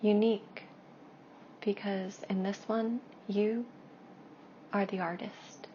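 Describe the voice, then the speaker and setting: Slow, hushed woman guiding a meditation. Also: mid-range voice, level tone. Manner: hushed, soothing, drawn-out phrases with long pauses. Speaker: meditation guide and Reiki practitioner. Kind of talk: guided meditation narration. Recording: dull lo-fi with faint background noise.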